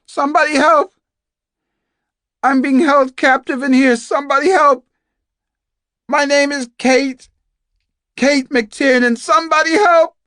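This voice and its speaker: Captive young woman, defiant, yelling high n loud, pleading hard, strong strained voice. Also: steady tone, unhurried, long pauses, no room sound, clean studio sound. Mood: desperate, screaming. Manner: insistent, pleading. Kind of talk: dramatized audiobook dialogue.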